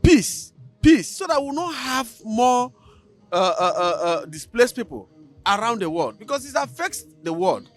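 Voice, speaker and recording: A man's voice: very high-pitched, sing-song, measured pace, soft background music. A man, speaking emphatically and animatedly, with occasional hesitations. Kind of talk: animated discussion.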